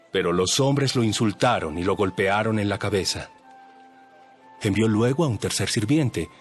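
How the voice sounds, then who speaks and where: Brief: a man as a wise teacher, low voice, expressive, soft music under. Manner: measured, teaching. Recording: voice over light background music.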